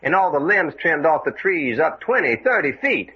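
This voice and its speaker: An actor playing a folksy, scrappy American adventurer with a drawling Southern accent in an old-time radio drama. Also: male voice, fairly high pitch, very animated, moderate pace. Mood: earnest, fearful. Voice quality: drawling country speech. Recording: dull vintage radio recording, faint noise.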